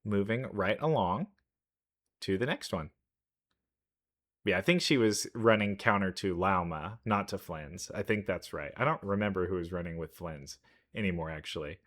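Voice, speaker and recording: Low, bright-voiced man reacting casually. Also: moderate pace. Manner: informal, thinks aloud in loose trailing sentences. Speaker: reaction video host. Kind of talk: casual reaction commentary on game trailers. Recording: music playing behind the voice. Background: game trailer music.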